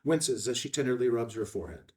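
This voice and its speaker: A man's voice: mid-range voice, animated, fast, a little mellow, far from the mic. A man reading out stage directions as scripted narration at a film table read.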